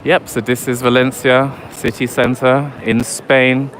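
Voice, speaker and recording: A man's voice: medium pitch, level tone, a little slow, low-quality recording. A man walking city streets at night, chatting wryly to his livestream viewers. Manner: chatty, wry, talks to live chat. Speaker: livestream vlogger. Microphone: handheld phone or camera mic while walking. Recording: loud street noise behind. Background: traffic and street ambience.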